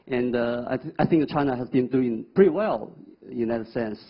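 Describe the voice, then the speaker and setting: Husky man searching for words. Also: mid-range voice, moderate pace, dull audio. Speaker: panel guest. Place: TV studio.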